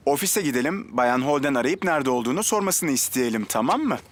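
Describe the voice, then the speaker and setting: A man's voice: mid-range voice, animated, fast talker, slight background noise, lightly acted. A man voicing a kind, reassuring primary school teacher in a children's cartoon dub.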